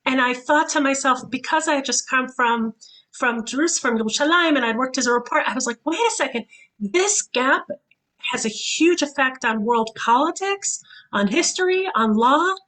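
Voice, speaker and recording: A woman whose first language is Hebrew speaks as a guest author on a video call. She talks animatedly, often restarting phrases, in a somewhat high voice. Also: animated, moderate pace, a bit bright, rough recording. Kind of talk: casual panel discussion.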